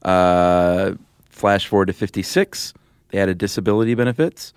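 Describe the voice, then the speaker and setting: A man's voice: deep voice, normal speed, clear, resonant tone, no room sound, studio quality. An American man co-hosting a podcast, explaining things in a laid-back, colloquial, chatty way. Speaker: podcast co-host. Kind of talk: casual chat explainer.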